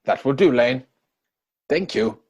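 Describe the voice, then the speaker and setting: A man playing a flippant young Victorian gentleman in a stage comedy reading, with glib, mock-earnest theatrical delivery. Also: mid-range voice, animated, measured pace, husky. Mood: mock horror.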